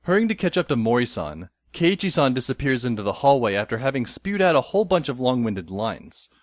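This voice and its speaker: A man's voice: mid-range voice, very animated, brisk, no room sound, lightly acted. A man playing a wry teenage schoolboy who narrates an audio drama in a dry, descriptive way.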